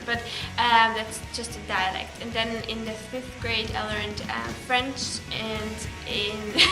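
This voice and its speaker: A teenage girl from Belarus, a native Russian speaker, answering interview questions in English with many fillers and restarts. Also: a little high. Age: teens. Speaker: exchange student. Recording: echoey room with music behind.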